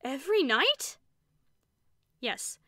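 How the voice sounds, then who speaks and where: A woman's voice: high-pitched, sing-song, drawn-out words, pushed and bright, dry recording, voice pushed up, heavily acted. A woman voicing a stern, tightly self-controlled woman who speaks curtly and dryly. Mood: tense curt. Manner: curt, dry, rigid.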